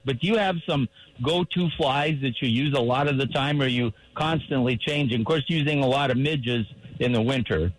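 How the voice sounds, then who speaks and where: A man hosting a radio fishing show, talking casually and asking guests long questions while a bit hoarse from losing his voice. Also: medium pitch, no echo, rough recording. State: losing his voice, clearing throat.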